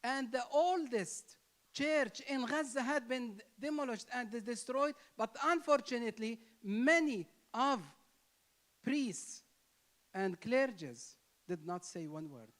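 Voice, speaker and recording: A man's voice: very high-pitched, animated, takes it slowly, a bit bright. A man with a Palestinian Arabic accent speaks to an interfaith gathering in emphatic, impassioned English. He repeats phrases for force and lifts his voice to stress key words, his Arabic native tongue plain in the sound of his English. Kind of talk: impassioned speech.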